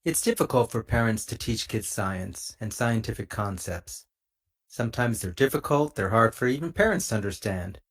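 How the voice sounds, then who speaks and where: A middle-aged man speaking as a podcast host and interviewer, with an articulate and measured delivery. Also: lowish voice, lively intonation, fairly bright tone.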